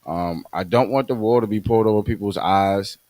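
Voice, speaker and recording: A man co-hosting a podcast, talking casually in a halting way with many fillers and restarts. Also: lowish voice, darkish tone, no room sound. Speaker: podcast co-host.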